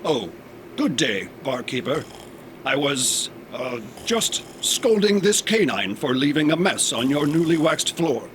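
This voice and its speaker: A man's voice: fairly high pitch, very animated, a little slow. A man playing a haughty robot who scorns humans in a sci-fi comedy audio drama, speaking with pompous, formal diction over a noisy background. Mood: scornful. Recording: clear background noise and ambience.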